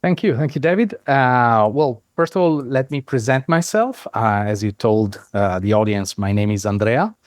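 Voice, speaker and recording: A middle-aged man with an Italian accent, a non-native English speaker, explaining things in a measured way as an interview guest with frequent "uh" pauses. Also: mid-range voice, lively intonation, no room sound, studio quality. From Italy. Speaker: guest, software founder. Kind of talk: conversational explanation.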